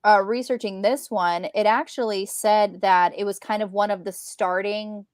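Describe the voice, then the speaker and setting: A woman co-hosting a casual book discussion, retelling in a chatty, animated way with quoted asides. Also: mid-range voice, normal speed, dry recording, clean studio sound. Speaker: book club co-host.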